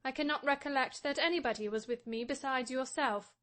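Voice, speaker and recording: A man reading an audiobook voices a proud, defiant young wife, speaking softly and scornfully. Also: extremely high pitch, brisk, voice pushed up. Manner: soft, hushed and scornful. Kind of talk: audiobook reading of a classic novel.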